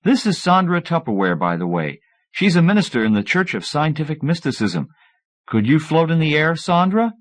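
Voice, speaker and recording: Guy doing an agitated pushy inventor man for an audiobook, voice raised up high. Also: very animated, moderate pace. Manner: emphatic, agitated. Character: excitable male mad-scientist inventor, pushy and defiant. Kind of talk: acted audiobook dialogue.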